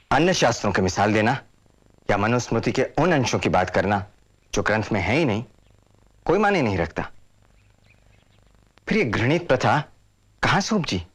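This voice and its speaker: An actor playing a passionate, indignant social reformer in a period drama, declaiming like an orator. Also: male voice, mid-range voice, breathy edge. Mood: firm defiance. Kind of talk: Hindi period drama acting.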